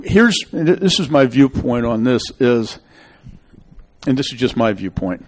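A man's voice: mid-range voice, big pitch swings, measured pace. A middle-aged man hosting a public meeting, rambling and emphatic as he gives his opinion. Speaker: local official hosting a public meeting show. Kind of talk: town meeting discussion, opinionated.

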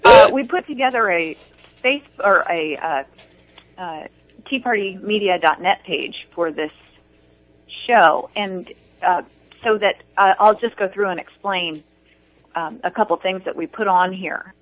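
A woman chatting as a guest on talk radio, halting, with lots of ums and restarts. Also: mid-range voice, dry recording. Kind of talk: casual talk radio chat. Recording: dull dry lo-fi studio sound.

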